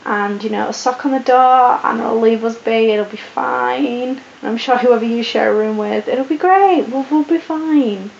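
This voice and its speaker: A sleep-deprived young woman with a put-on posh British accent, chatting casually and rambling through viewer questions with a croaky voice. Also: fairly high pitch, normal speed, hardly any pauses, darkish tone. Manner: rambling, chatty, with many ums and restarts. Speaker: vlogger answering viewer questions. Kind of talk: casual Q&A chat. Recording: echoey room, dull lo-fi audio with faint hiss.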